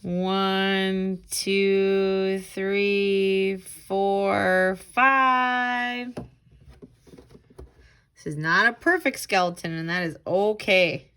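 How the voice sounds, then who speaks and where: A woman tutor demonstrates a craft for young children. She speaks slowly, in a bright voice of middling pitch. Her instructions are simple and encouraging, given one step at a time. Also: steady tone, clean tone. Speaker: tutor leading a children's read-aloud craft.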